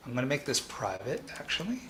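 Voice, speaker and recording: A man hosting a live coding tutorial, thinking aloud in a halting way and repeating phrases as he reasons. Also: medium pitch, huge pitch swings, bright, forceful tone, a little hiss or hum. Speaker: programming tutor and livestream host.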